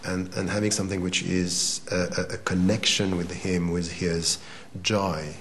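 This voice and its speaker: A man's voice: low voice, flat delivery, unhurried, a bit bright, a little hiss or hum. A man in his forties from Switzerland whose first language is Arabic, answering an interview in a thoughtful, hesitant way, often repeating words. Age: 40s. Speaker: interview guest.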